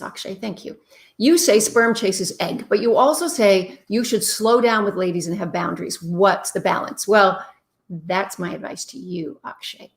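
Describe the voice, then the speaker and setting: A warm-voiced, middle-aged woman hosts a live relationship advice stream. She speaks at an everyday mid pitch and answers questions frankly, without sugarcoating. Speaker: relationship advice show host.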